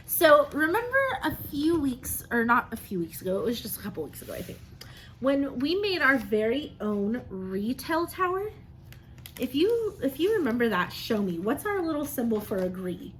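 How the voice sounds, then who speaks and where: A woman's voice: fairly high pitch, slight background noise. A woman, an elementary teacher reading and chatting to young children, often asking them questions and affirming their answers. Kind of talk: children's read-aloud and drawing lesson.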